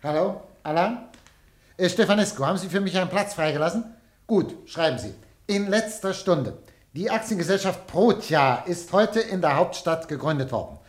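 An actor playing a businesslike newspaper director who dictates copy, in a radio play. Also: male voice, sits high, lively intonation, normal speed. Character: newspaper director, practical and hurried. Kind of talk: 1950s radio play acting.

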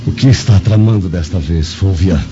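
Actor as a deep-voiced lustful Roman officer. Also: male voice, normal speed, mellow, slight background noise, close to an everyday voice. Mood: craving, uneasy. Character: lustful Roman officer, devoted accomplice, uneasy. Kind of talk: radio drama acting. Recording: muffled lo-fi audio with music.

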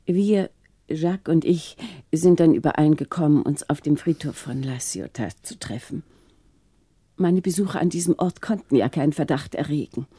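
A woman's voice: low-pitched, normal speed, warm tone, husky, dry recording. An actress playing a grave, sorrowful wealthy woman confessing in a hesitant, subdued way in a radio crime drama. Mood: subdued confession. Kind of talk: 1960s German radio crime drama acting.

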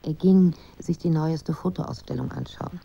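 Wounded, pensive wife for radio play: very dark, creaky, husky voice, confiding, slightly muffled sound. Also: female voice, deep voice, a little slow, exaggerated voice. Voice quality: husky, breathy. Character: wounded, pensive married woman writing to her father. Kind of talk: radio drama monologue.

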